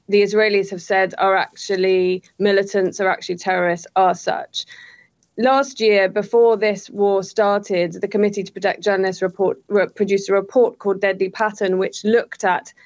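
A woman giving measured answers as an interviewed advocate. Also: medium pitch, fairly even intonation. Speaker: press freedom advocate being interviewed. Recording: dull, band-limited interview line.

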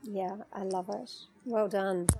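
Mellow-voiced woman host. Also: mid-range voice, steady tone, takes it slowly, slightly rough. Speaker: podcast host. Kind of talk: casual interview chat.

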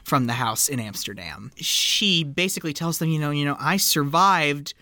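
Bright, joking man podcast co-host. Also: fairly high pitch, normal speed, no room sound. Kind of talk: casual movie recap chat.

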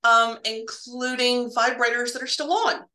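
A woman telling casual, humorous stories as a podcast guest, animated and ready to laugh. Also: a little high, unhurried, roomy sound. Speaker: guest, medical laboratory professional.

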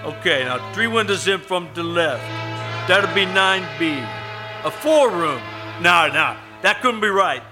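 A man plays a colloquial, no-nonsense apartment superintendent in an old-time radio suspense play. His voice sits high for a man, brusque and doubtful, and music is clearly heard behind it. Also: animated, measured pace. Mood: sceptical, businesslike. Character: gruff, sceptical apartment building superintendent. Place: live performance at a winery.

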